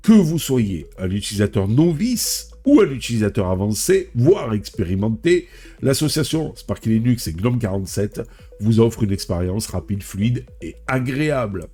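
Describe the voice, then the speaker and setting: A man's voice: on the low side, very animated, normal speed, warm tone, slightly rough, faint music behind. A man with a head cold hosting a tech video, reading a news script and adding chatty personal asides. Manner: reads then adds chatty personal remarks. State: stuffy with a cold.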